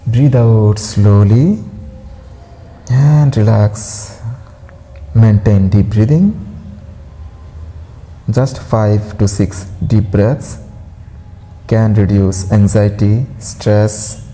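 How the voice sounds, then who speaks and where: A man guides a meditation in a lowish, deeply soft and mellow voice. He speaks very slowly and gently, leaving long pauses between soothing instructions, as a yoga teacher would.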